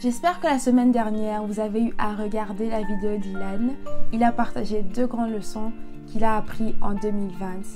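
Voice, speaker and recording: A woman's voice: mid-range voice, fairly even intonation, normal speed, over music. A woman host opening her show in a playful scripted intro, switching between French and English.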